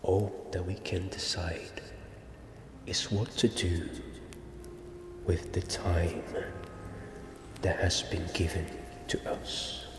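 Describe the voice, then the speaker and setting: A deep-voiced, wise old wizard played by a man murmurs gently in an ASMR fantasy roleplay, often dropping to a whisper. The words come very slowly, with long pauses, in an echoing, cavernous space. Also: steady tone. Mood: gentle, solemn. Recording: echoey cavernous reverb, noisy ambience.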